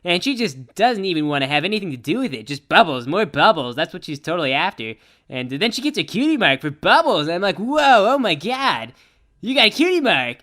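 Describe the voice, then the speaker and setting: A woman's voice: fairly low pitch, big pitch swings, brisk, a bit bright. A woman voicing a brash, easygoing young cartoon pegasus pony who tells a story in a casual, rambling slacker way. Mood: laid-back, then excited, alarmed. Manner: casual slacker rambling, full of "like" and "you know". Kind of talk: improvised-sounding comic cartoon storytelling.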